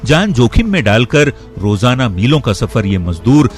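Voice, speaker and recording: A man's voice: mid-range voice, sing-song, moderate pace. A man reading a scripted news voice-over as the narrator of a report. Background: faint background music.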